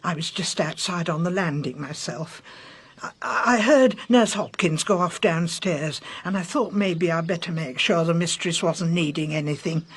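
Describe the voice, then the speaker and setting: Husky, loyal British servant woman. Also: on the low side, moderate pace. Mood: insistent, confiding. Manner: gossipy, insistent, colloquial. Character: loyal, indignant, chatty household servant woman. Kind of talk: radio drama acting.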